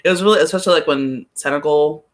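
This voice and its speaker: A man co-hosting a sports podcast, chatting casually about soccer in a rambling, halting way and often correcting himself. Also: on the high side.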